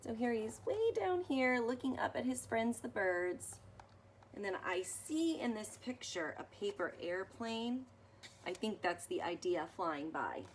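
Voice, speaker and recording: Woman doing a kids read aloud, takes it slow, explains as she goes, bit of background noise. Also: on the high side. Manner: expressive, explanatory, as if to young children. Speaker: storybook reader. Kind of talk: read-aloud of a children's picture book with explanatory asides.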